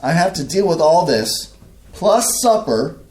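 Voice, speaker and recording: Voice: medium pitch, sing-song, unhurried, a little mellow. An actor in a staged play reading playing a flustered, grumpy older father who sputters and halts indignantly. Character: flustered older father, grumpy small-town shoe seller.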